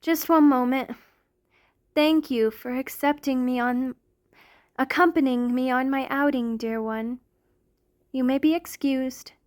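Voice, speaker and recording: A woman's voice: high voice, fairly even intonation, a little slow, long pauses, darkish tone. A woman voicing a tender, aristocratic, centuries-old female vampire in an ASMR roleplay, speaking affectionately with formal, genteel phrasing.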